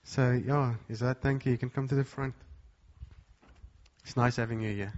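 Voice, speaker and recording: A man hosting a church service, introducing guest speakers in a relaxed, informal way. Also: mid-range voice, moderate pace, dull audio. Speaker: church host.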